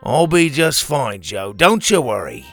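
A man's voice: mid-range voice, sing-song, measured pace, fairly bright tone, faint music behind. A man voicing a goofy, theatrical male dinosaur teacher in a children's story. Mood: blustering bravado. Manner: blustering, theatrical.

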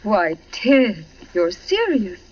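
An actress playing an evasive wife in a 1940s radio drama. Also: female voice, mid-range voice, very animated, takes it slowly. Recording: muffled 1940s radio recording.

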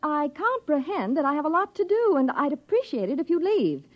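An actress playing a prim, flustered, easily indignant housewife in an old radio drama, with a proper, huffy manner. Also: female voice, sits high, big pitch swings, a little fast. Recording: dull, lo-fi old radio recording.

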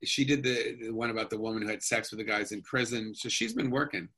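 Bright-voiced man, low-pitched and rambling. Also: lively intonation, quick pace, husky. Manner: rambling, enthusiastic, many restarts and fillers. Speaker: actor guest. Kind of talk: casual interview talk.

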